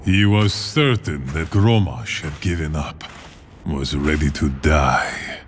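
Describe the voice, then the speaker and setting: Deep, solemn warrior storyteller. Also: male voice, a little hiss or hum. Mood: solemn storytelling. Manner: grave, measured. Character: solemn male warrior storyteller counselling a king. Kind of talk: dramatic narration in animated game cinematic. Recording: loud cinematic music behind.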